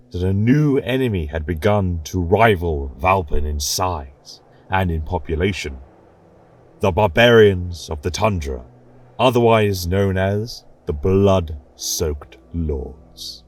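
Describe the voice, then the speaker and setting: Slow, husky male storyteller with a theatrical lecturer's delivery. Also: low-pitched, darkish tone. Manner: theatrical, ominous pauses. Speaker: storyteller posing as a history lecturer. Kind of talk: dramatic fantasy storytelling.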